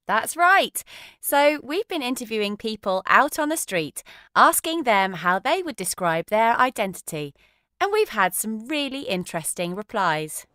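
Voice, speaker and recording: A woman co-hosting a scripted show, presenting in a bright, friendly manner. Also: a little high, very animated, measured pace, no echo, heavily acted. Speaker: co-host. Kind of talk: scripted course-book radio show.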